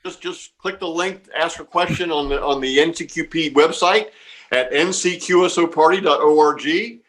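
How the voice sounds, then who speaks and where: A man with a somewhat high-pitched voice speaks as an organizer in an informal interview. He explains things step by step in a reassuring way, often doubling back on words and phrases. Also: normal speed, a bit bright. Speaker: amateur radio contest organizer being interviewed.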